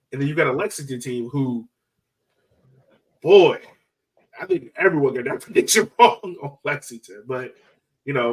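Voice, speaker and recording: A man's voice: medium pitch, low-quality recording. A man hosting a sports podcast in an informal, conversational style, thinking aloud as he goes. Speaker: soccer podcast host. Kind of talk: casual sports chat.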